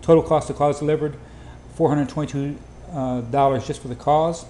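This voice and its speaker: A man giving an informal sales presentation over slides, with hurried, clipped phrasing. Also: fairly high pitch, fairly even intonation, dark tone. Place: indoor room, voice-over for slides. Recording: roomy echo, faint background noise.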